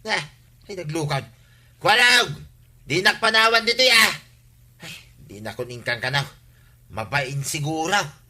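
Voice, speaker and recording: Very sharp-voiced meddling Ilocano matchmaker. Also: male voice, a little high, expressive, measured pace, long pauses, full character voice. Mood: coaxing. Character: meddling, wheedling older male neighbor, cheeky matchmaker. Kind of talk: Ilocano comedy radio drama acting.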